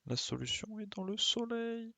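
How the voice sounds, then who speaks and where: Male game streamer, gravelly voice, dry close sound, casual and slangy, talks himself through play. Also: high voice, very animated, moderate pace, bright, forceful tone, dry recording. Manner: colloquial, mild swearing, muttering to himself. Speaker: streamer playing a video game. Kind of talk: casual gaming commentary, thinking aloud.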